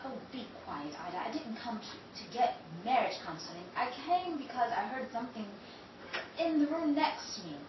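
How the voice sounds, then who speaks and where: A woman's voice: fairly high pitch, lively intonation, moderate pace, lightly acted. Woman playing a stammering, frightened wife. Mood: anxious. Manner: stammering, anxious. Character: nervous wife frightened by night noises. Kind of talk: 1930s-style radio drama acting. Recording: very echoey, distant, dull and noisy.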